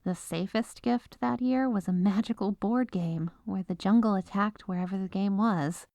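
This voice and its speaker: A woman's voice: mid-range voice, normal speed, gravelly, warm tone, no echo, studio quality, close to an everyday voice. A woman playing an exasperated, businesslike head lawyer at the North Pole in a scripted comedic audio roleplay. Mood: businesslike, explaining. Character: exasperated, dutiful head legal advisor at Santa's North Pole.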